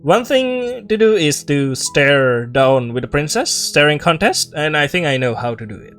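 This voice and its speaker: High-pitched man doing casual game commentary. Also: very animated, moderate pace, a bit bright, faint music behind. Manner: playful, offhand reactions, echoes game lines. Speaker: game streamer. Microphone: own microphone with compression.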